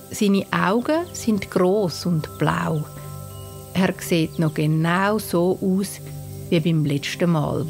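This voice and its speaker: A woman with a Swiss German accent narrating a scripted bedtime story for children in a gentle, soothing manner. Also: lowish voice. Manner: slow, gentle, soothing delivery. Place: studio. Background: soft music and sound design.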